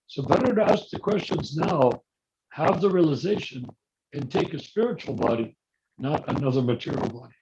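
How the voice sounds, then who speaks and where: A man with a somewhat high voice talks earnestly about spiritual questions over a video call. His voice comes through his hearing aids and sounds scratchy and muffled. A clear noise sits under every word. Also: lively intonation, normal speed. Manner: earnest, rhetorical, asks if he makes sense. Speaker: discussion leader. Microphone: phone linked to hearing aids.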